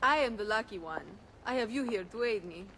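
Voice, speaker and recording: A woman's voice: on the high side, expressive, fairly quick, pushed and bright, a little hiss or hum, rough recording. A woman playing a flirtatious, coy Italian noblewoman in a video game, with an Italian accent. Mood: grateful, coy. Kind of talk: video game cutscene acting.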